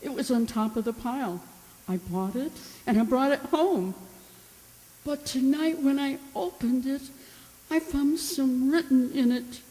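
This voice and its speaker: A woman's voice: sits high, a little slow, mellow. A woman playing an anxious, insistent wife who pleads urgently in a radio drama. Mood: distressed. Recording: reverberant hall-like radio play recording.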